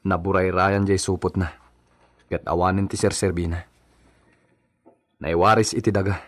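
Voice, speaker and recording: A man's voice: sits low, fairly even intonation, moderate pace, no echo, natural voice. A man with an Ilocano accent playing a blunt, commanding son in a family radio drama. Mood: grave reporting.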